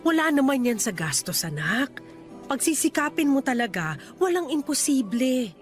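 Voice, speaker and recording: A doting mother with a Filipino accent and a fairly high voice. She talks in a chatty, admiring, motherly way, as in a radio drama, with music playing underneath. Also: big pitch swings, moderate pace. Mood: gently explaining. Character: doting Filipino mother, admiring, gently comparing her child.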